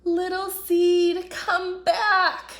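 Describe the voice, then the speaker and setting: A woman's voice: very high voice, flat delivery, drawn-out words, fairly bright tone, heavily acted. A woman voicing a small, anxious and loyal young male fox in a children's storybook, with a worried, comforting manner. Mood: worried, calling out.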